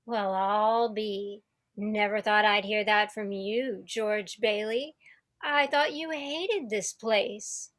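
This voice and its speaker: A woman playing a wistful but resolute small-town young woman in a radio play, speaking in a measured way. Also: mid-range voice, steady tone, fairly bright tone. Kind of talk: community theatre radio play acting. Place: home, over video call.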